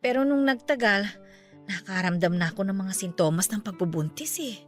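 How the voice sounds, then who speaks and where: A woman's voice: medium pitch, lively intonation, a little fast, faint music behind, close to an everyday voice. A woman playing an anxious, hesitant middle-aged aunt in an emotive radio drama. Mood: nervous, hesitant. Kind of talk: Tagalog radio drama acting.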